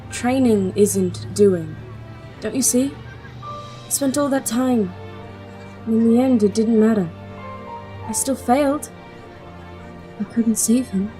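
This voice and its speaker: A woman's voice: on the high side, slow, pauses often, dark tone, soft background music. A woman playing a grieving young princess in an audio drama, bitter, blunt and bleak. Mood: self-blaming.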